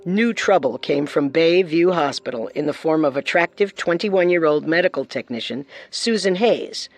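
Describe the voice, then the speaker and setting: A woman narrates true crime from a script as a co-host. Her voice is on the low side, with music playing underneath. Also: lively intonation, normal speed, no echo.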